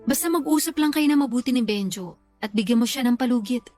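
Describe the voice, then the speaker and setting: A woman's voice: on the high side, fast, a little mellow, lightly acted. A Filipino woman acting in a radio drama as a level-headed, supportive friend who reassures and gives advice. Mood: gently advising. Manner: reassuring, advising. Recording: background music under the voice.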